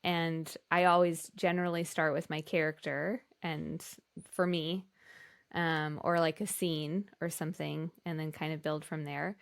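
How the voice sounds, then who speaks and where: A woman's voice: low voice, flat delivery, measured pace, creaky. A woman co-hosting a podcast, talking in a casual, enthusiastic and effusive way. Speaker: podcast co-host. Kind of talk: casual conversational discussion.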